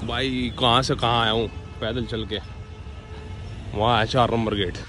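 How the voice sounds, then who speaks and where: A man's voice: mid-range voice, little pitch movement, measured pace. Chatty vlogger man on street. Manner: joking, chatty, laughs often. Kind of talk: casual vlog chat. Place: outdoors on busy city streets and at an expo. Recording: loud traffic noise outdoors.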